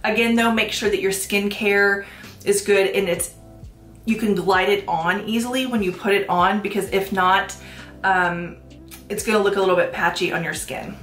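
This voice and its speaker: A woman in her thirties, a bit stuffy and sniffly, talks easily through her makeup routine at a middle pitch. She fills the gaps with loose ums and likes. The room gives her voice a noticeable echo, with soft music underneath. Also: moderate pace. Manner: chatty, relaxed, with frequent ums and likes. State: congested from allergies, sniffling. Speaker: beauty vlogger. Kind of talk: casual makeup tutorial talk. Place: small room at home, plain backdrop. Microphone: camera at head-and-shoulders framing.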